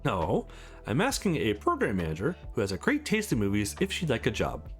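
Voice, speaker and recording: A man's voice: on the low side, wildly swooping pitch, fairly quick, faint music behind. A man playing an easygoing, sincere male visual novel protagonist in a friendly, lightly teasing way. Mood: encouraging.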